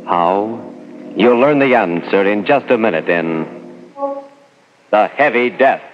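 An actor as a solemn, ominous narrator of midnight horror tales, speaking theatrically in 1940s radio style. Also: male voice, fairly low pitch, lively intonation, slow, big-room sound. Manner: portentous, theatrical. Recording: muffled 1940s radio recording. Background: loud dramatic music.

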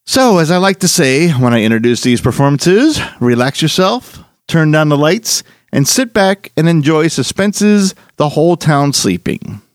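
A man's voice: fairly high pitch, expressive, a little slow, few pauses. A man hosting a podcast, reading a scripted introduction in a presentational, announcer-like way. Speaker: podcast host. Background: music.

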